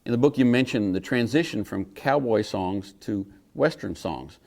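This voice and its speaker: A man hosting an interview podcast, asking measured, courteous questions. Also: on the low side, animated, vocal fry, darkish tone.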